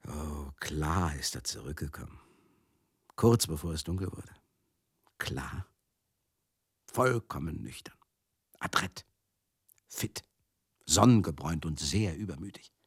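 An actor playing a world-weary man in a radio play, confiding a painful memory bitterly and tenderly in halting, repetitive, conversational phrases, with a husky voice that often drops to a murmur. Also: very deep voice, dry recording, studio quality, heavily acted. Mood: wry, searching. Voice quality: gravelly, husky, often dropping to a breathy murmur. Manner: halting, repetitive, conversational, addressing listeners directly. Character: Teddy, world-weary male touring companion recalling a tragedy, bitter and tender.

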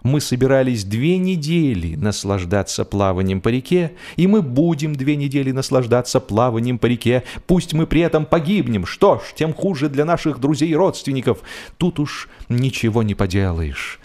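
A man narrating a humorous novel expressively, with a theatrical, deliberate delivery that draws out phrases for drama. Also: medium pitch, big pitch swings, a little mellow. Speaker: audiobook narrator.

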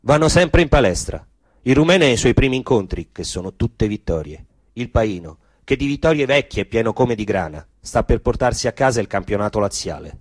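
A man's voice: medium pitch, sing-song, a little fast, no room sound. A man delivering scripted, theatrical narration of a story.

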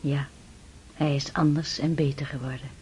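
An actress playing a calm, reassuring woman friend in a radio play. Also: deep voice, normal speed, slight background noise, natural voice, poor audio. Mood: gentle. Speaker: Maria, a woman. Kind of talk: radio drama acting.

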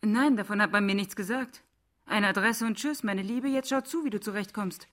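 Indignant woman economist played by an actress, mid-pitched. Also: normal speed, fairly bright tone, natural voice. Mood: incredulous. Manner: incredulous, crisp questioning. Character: indignant, sceptical woman economist, new to a madhouse workplace. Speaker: actor in a radio play. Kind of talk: German satirical radio play acting.

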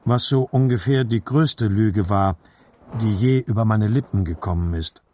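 A man reading a literary story as a scripted audiobook narration, with a husky voice. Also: on the low side, normal speed, darkish tone, slight background noise.

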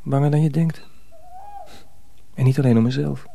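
An actor playing a wary, troubled young miller's apprentice in a radio drama, questioning and guarded, with a soft, husky voice. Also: male voice, medium pitch, steady tone, normal speed. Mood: guarded, anxious.

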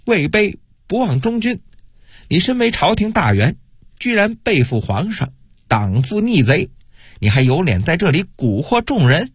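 A man acts out an indignant, loyal minor official in a historical audiobook. The voice is pushed high with a husky edge, swinging widely as he declaims in defiance, ready to die for his cause. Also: sing-song, measured pace, no echo, clean studio sound, exaggerated voice. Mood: furious defiance. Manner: impassioned, declamatory shouting.

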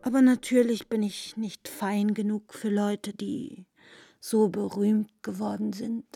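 An actress playing an evasive, wounded young woman in a literary radio drama, halting and confiding, at times dropping toward a whisper. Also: mid-range voice, steady tone, gravelly, mellow, no echo, studio quality. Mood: defensive, hurt.

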